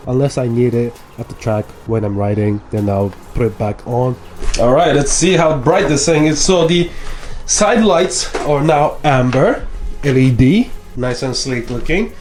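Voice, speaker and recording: A man's voice: mid-range voice, measured pace, darkish tone. A young man with a stuffy nose from a cold, chatting casually as he explains a motorcycle install step by step in his garage. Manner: chatty, explains steps as he works. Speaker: motorcycle vlogger. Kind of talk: casual how-to vlog. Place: home garage.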